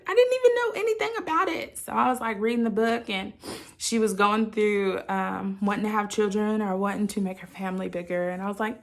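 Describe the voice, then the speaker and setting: A woman sharing a personal story with listeners in a candid, halting, reflective way, often qualifying what she says and voicing her own thoughts expressively. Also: fairly high pitch, gravelly, fairly bright tone. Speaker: host of a personal channel.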